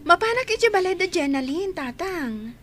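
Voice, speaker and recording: With faint noise behind her, an actress plays a young village woman in a radio drama. Her voice is high, and she is an earnest, dutiful daughter who talks in a reassuring way. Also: sing-song, moderate pace, a bit bright, heavily acted. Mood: eager, respectful. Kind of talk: Ilocano radio drama acting.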